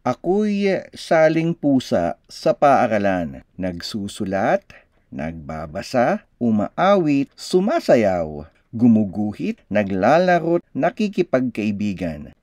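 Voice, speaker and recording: Slow, swooping Filipino male storyteller. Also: fairly low pitch, darkish tone, no room sound, studio quality. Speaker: storyteller reading a children's picture book aloud. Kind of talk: expressive children's read-aloud narration.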